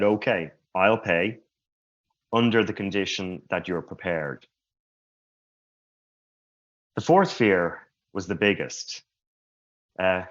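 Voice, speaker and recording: A man who stutters giving a slow, reflective personal talk at a conference. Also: low-pitched, a bit bright. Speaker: conference speaker. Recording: muffled lo-fi sound.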